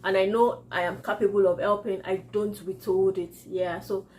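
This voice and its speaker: A Nigerian-accented woman with Yoruba as her first language, talking casually in a vlog at an ordinary mid pitch. She laughs often and restarts her sentences as she reads and answers viewer comments. A faint hiss sits underneath her voice. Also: flat delivery, normal speed, darkish tone. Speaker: vlogger.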